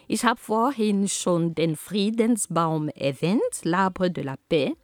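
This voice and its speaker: Slow Cameroonian woman, low and dry. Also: no echo. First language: Homala. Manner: slow and deliberate, with frequent fillers and self-corrections. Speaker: speaker on peace and culture. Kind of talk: prepared talk.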